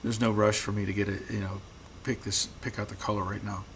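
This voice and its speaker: A man hosting a live stream from his garage, answering viewer comments in a relaxed, halting way, repeating words, his voice getting rough after long talking. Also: on the low side, slight background noise. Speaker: host.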